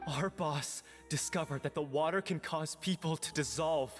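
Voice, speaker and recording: A cowardly male guard from an anime game, played by an actor. His voice is high and nervous, pleading through long hall reverb with music behind. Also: normal speed, lightly acted. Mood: desperate.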